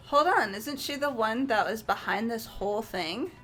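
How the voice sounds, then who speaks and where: Woman as a high-voiced, inquisitive schoolgirl. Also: animated, normal speed, fairly bright tone. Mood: confused. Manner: casual, questioning. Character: naive, excitable, plain-spoken teenage schoolgirl. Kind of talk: fan-dubbed video game character acting. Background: game music.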